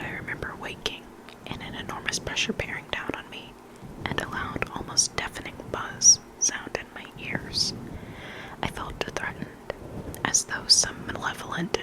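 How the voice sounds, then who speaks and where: A whispering ASMR reader tells creepy stories right up at the microphone. The delivery is breathy and unvoiced, with a lively rise and fall in the phrasing. Words are now and then repeated for effect. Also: mid-range voice, rough recording. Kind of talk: whispered ASMR storytelling, reading creepy anecdotes. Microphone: close ASMR microphone.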